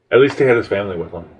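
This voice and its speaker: A man telling a biblical story in an improvised, conversational way, halting and rambling as he reflects. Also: lowish voice. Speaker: storyteller, podcast host. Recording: dull lo-fi audio with background noise.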